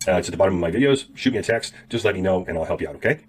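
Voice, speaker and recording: A hoarse man with a cold talks quickly and casually, walking his viewers through an online tutorial. His low, husky voice keeps catching, and he rambles, clears his throat and fills pauses as he goes. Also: lively intonation. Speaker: YouTube affiliate marketing teacher. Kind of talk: casual tutorial talk to camera.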